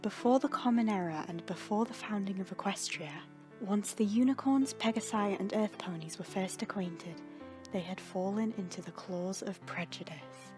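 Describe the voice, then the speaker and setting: A woman narrates a fantasy story with solemn drama, her voice sitting at a middle pitch. Clear background music plays beneath her scripted reading. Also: moderate pace. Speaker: narrator reading fan fiction. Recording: music under the voice.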